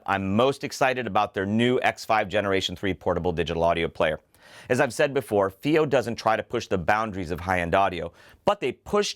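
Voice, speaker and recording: A man's voice: on the low side, fairly bright tone, no room sound, studio quality. A man hosting a product review show, presenting scripted material to camera in an articulate, enthusiastic way. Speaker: host of a headphone review show.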